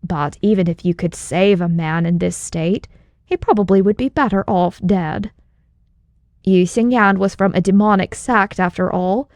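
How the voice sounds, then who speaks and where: A woman's voice: medium pitch, normal speed, darkish tone, dry recording. A woman reading scripted story narration as an audiobook narrator.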